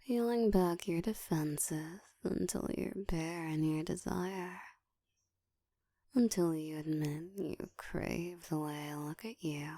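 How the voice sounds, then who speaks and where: A woman voicing a dominant, seductive ancient vampire in an intimate, breathy whispered ASMR roleplay, teasing and deliberate. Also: on the low side, dark tone, no echo, clean studio sound. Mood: teasing, possessive. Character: dominant, seductive ancient female vampire, hungry yet controlled.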